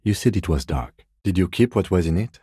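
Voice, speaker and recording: Calm middle-aged police detective from a video game, super deep smooth voice, measured and restrained. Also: male voice, no room sound, studio quality, full character voice. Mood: probing, serious. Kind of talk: video game voice acting, understated dialogue.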